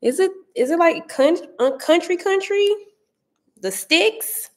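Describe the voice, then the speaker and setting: A woman in her thirties with a St. Louis accent, chatting casually to viewers from her dining room, animated and often searching for words. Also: very high voice, slow pace. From Saint Louis area. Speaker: vlogger answering viewer comments. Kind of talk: casual chat.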